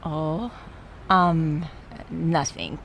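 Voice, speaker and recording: An earnest, easily exasperated woman at a wake in a radio drama. Her voice is fairly low and she speaks very slowly, with apologetic hesitation. A faint rumble runs behind her. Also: steady tone, a bit bright, exaggerated voice. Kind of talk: radio play dialogue.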